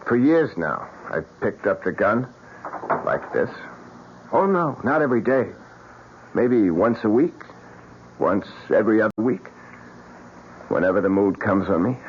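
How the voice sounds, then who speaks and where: An actor playing an eccentric, morbid painter in an old-time radio mystery, with a theatrical, mockingly cheerful manner. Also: male voice, lowish voice, lively intonation, a little slow, pauses often. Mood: morbid, confiding. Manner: theatrical, sardonic, swinging delivery. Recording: muffled, lightly hissy old radio broadcast.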